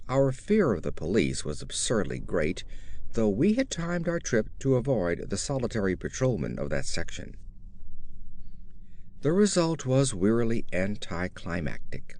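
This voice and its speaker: A man reading a scripted horror story as narrator, in a dramatic, measured and formal storytelling manner. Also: mid-range voice, darkish tone.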